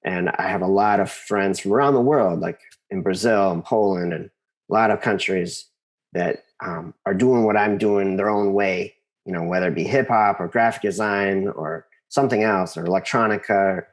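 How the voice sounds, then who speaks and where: Low-pitched American man, halting and thoughtful. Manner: halting, thinks aloud, repeats phrases for emphasis. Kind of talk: casual interview conversation.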